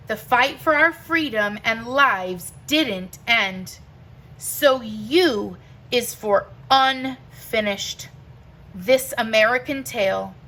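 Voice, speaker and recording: Slow, bright-voiced woman reading aloud. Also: on the high side, poor audio. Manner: deliberate, emphatic phrasing. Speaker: teacher reading a children's book aloud. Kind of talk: expressive read-aloud of a rhyming picture book.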